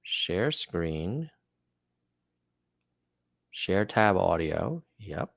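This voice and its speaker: A man's voice: low-pitched, big pitch swings, very slow, creaky, fairly bright tone, no room sound. A man hosting a casual news commentary show, talking in a chatty way with offhand asides.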